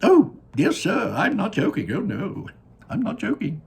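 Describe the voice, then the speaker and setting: Eccentric elderly male candy maker for a storybook, man acting: high, husky, excitable, drawn-out emphasis. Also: exaggerated voice. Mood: delighted. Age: older.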